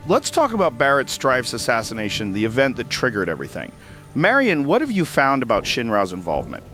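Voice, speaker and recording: A man's voice: medium pitch, huge pitch swings, fairly quick, a bit bright, faint music behind. A man playing a serious investigative radio host in an audio drama. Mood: grave, probing. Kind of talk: audio drama broadcast presenting.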